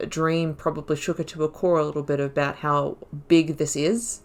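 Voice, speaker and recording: A woman with a low voice narrates her video game playthrough casually. She thinks aloud, reflective, and reads dialogue choices in character. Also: flat delivery, moderate pace. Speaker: gaming YouTuber. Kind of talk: casual let's-play commentary, reading dialogue options aloud.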